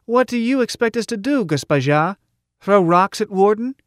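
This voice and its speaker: Man doing a high, peevish, whining male heckler. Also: big pitch swings, very slow, fairly bright tone, dry recording, studio quality, exaggerated voice. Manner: whining, querulous. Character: peevish fat male heckler at a political meeting.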